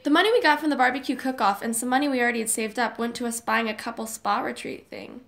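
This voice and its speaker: A woman playing an upbeat, persuasive high school girl in a casual audio drama. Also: quick pace, creaky, fairly bright tone. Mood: eager, coaxing.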